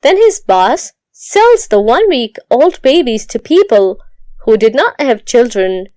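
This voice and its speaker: Female storyteller for moral tales: higher voice, highly varied intonation, scripted read, very dry sound. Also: sing-song, a little slow, dark tone, no echo.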